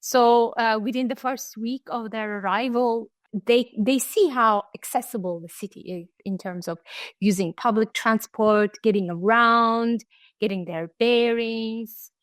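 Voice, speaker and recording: Measured woman, dry sound. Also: fairly high pitch, no room sound. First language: Turkish. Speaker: study abroad program director. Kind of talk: interview answers.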